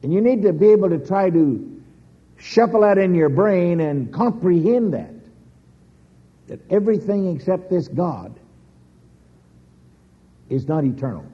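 A man preaching a sermon slowly and emphatically, with dramatic pauses and sudden rises in pitch. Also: very animated, slightly rough. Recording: dull lo-fi recording.

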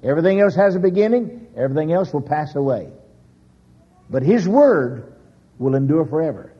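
A man preaching a sermon slowly and emphatically, with dramatic pauses and sudden rises in pitch. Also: very animated, slightly rough. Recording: dull lo-fi recording.

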